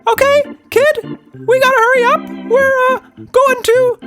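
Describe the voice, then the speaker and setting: Cartoon squeaker: falsetto-high parental nagging, halting delivery, dry sound. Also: male voice, fairly even intonation, fairly bright tone, no echo, soft background music. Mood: playful nagging. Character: squeaky cartoon mouse-style voice giving parental orders.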